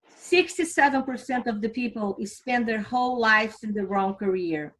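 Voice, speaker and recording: A woman's voice: medium pitch, rough recording. A middle-aged woman from Brazil with a Brazilian accent, giving an earnest, upbeat recruitment presentation and asking her audience questions. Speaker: recruiting presenter for a financial firm.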